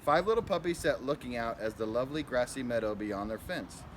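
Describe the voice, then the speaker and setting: Male storybook reader, medium pitch, child-directed narration, clearly noisy recording. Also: animated, fairly quick. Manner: simple, child-directed narration with asides about the pictures. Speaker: school principal reading to children. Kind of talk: picture book read-aloud.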